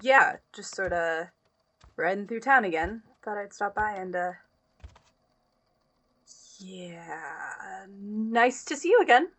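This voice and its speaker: A woman playing a slow-talking, awkward young woman. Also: medium pitch, animated, fairly bright tone, a little hiss or hum. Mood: awkward, breezy. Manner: hesitant, casual, filler-laden. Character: awkward, breezy young woman, politely uneasy girlfriend. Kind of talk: naturalistic audio drama acting.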